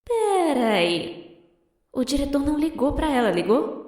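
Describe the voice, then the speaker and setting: A Brazilian woman narrating a scripted animated storytime fan dub in an expressive, theatrical, sarcastic manner. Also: sits high, unhurried.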